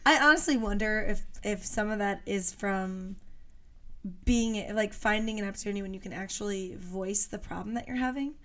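A woman co-hosting a podcast with a voice in the middle range. She chats casually and sounds animated and playful, thinking out loud as she goes. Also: medium pitch, normal speed. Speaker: podcast co-host. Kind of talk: casual chat.

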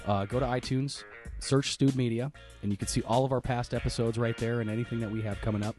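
A man's voice: mid-range voice, fairly even intonation, quick pace, a little mellow. Male podcast host, stuffy and congested, rambling casual intro, faint music behind. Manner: rambling, loses his place, self-deprecating. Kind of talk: casual chat.

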